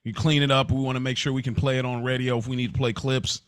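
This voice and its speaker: A man's voice: mid-range voice, little pitch movement, fast talker, clear, resonant tone, dry recording, clean studio sound. A man hosting a casual podcast chat, inquisitive, talking his way through hypothetical questions for his guests. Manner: inquisitive, poses hypothetical scenarios. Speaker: podcast host.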